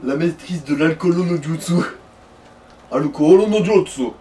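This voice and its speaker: A man's voice: on the high side, a little slow, mellow. A man chatting casually and jokingly as co-commentator on a video game. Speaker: gaming co-commentator. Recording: echoey, distant mic, some noise.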